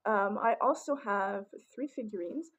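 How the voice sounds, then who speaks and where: Young woman, raspy voice, rambling casual chat, lots of ums, mid-pitched. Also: a little slow, fairly bright tone. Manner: chatty, rambling, many ums and restarts. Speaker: vlogger. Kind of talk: casual collection show-and-tell.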